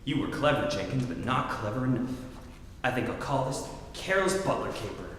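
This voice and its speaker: An actor playing a tough, smug hardboiled private detective in 1940s radio style. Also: male voice, medium pitch, animated, brisk, a bit bright, natural voice. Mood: tough, accusing. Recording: echoey hall, faint background noise.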